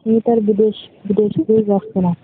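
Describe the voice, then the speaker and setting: A woman's voice: medium pitch, flat delivery, fairly quick. Bangladeshi woman on a tinny phone. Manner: bantering, cheeky retorts. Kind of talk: casual phone chat. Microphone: phone call. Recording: tinny phone line with noise.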